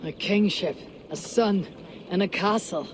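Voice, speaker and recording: An actor playing a terse, blunt Viking warrior leader in a video game. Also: female voice, medium pitch, unhurried, a little hiss or hum, lightly acted.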